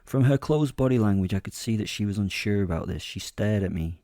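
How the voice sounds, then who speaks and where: A man giving brooding, measured first-person narration as a predatory killer in a horror audio drama. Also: lowish voice, lively intonation, mellow, dry recording, studio quality.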